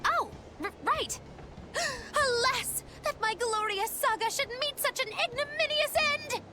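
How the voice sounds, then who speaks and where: A woman's voice: very high voice, a little slow, very noisy. An actress voicing a whiny, theatrical witch in a video game, with a melodramatic, grandiose way of talking. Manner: melodramatic, grandiose old-fashioned phrasing. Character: theatrical, whiny witch who dramatizes her own doom.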